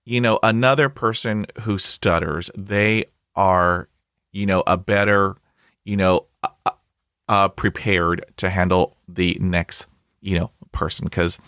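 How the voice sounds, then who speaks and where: A man's voice: low-pitched, fairly even intonation, unhurried, fairly bright tone, clear, resonant tone, no echo, clean studio sound. A man hosting a podcast interview, stuttering heavily with blocks and repeated sounds, upbeat and chatty with lots of fillers. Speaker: podcast host. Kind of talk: conversational interview.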